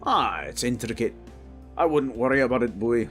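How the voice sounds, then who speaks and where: A man's voice: medium pitch, animated, moderate pace, a bit bright, faint music behind, lightly acted. A man playing a proud, battle-hardened old dwarf king in a fantasy audio drama, speaking in a boastful, commanding, folksy way.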